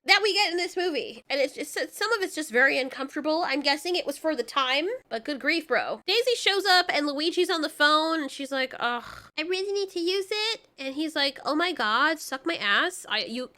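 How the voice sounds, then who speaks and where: A woman's voice: sits high, expressive, brisk, hardly any pauses, sharp tone. A woman doing a casual, comedic movie recap as a channel host, with a sweary, sarcastic, slangy way of talking.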